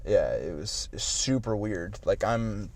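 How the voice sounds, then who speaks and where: Late-twenties male podcast co-host, slightly low voice, loose rambling delivery. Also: lively intonation, slow, creaky, slight background noise. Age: late 20s. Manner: rambling, filler-heavy with "like" and restarts. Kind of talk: casual chat.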